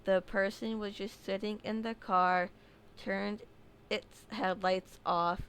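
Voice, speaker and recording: A woman reads a listener's scary story aloud, taking her time over every line. Her voice is smooth and clear, and she adds offhand asides and fixes her own slips as she goes. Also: mid-range voice, steady tone, fairly bright tone. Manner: unhurried reading with small slips and asides. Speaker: horror story narrator. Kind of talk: reading a submitted story aloud.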